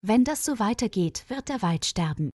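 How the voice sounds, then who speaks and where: A woman voicing a wise female owl in a storybook, a serious forest advisor who explains things gravely. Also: mid-range voice, lively intonation, moderate pace, dark tone, no room sound, clean studio sound, close to an everyday voice. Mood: worried, grave. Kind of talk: storybook character dialogue.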